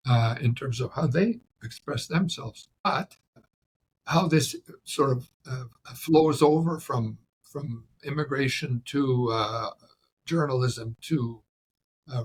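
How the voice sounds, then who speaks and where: Very raspy canadian guy w/ a faint ukrainian accent, slow thoughtful answers, lots of uhs. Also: medium pitch, poor audio. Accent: slight Ukrainian. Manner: measured, explains by analogy with frequent fillers. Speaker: guest, writer and journalist. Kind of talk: reflective interview answers.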